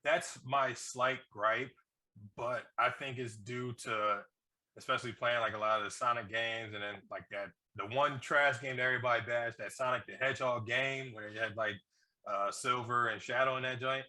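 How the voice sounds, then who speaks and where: A man co-hosting a casual review podcast, talking in an excitable, slangy way and swearing freely. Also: medium pitch, normal speed. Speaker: podcast co-host reviewing a film. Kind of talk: casual banter.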